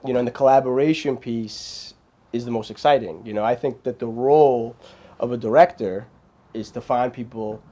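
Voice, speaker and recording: Mellow-voiced man talking about filmmaking. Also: mid-range voice, normal speed. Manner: animated, rambling, frequent restarts and fillers. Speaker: filmmaker guest. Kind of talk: casual interview chat.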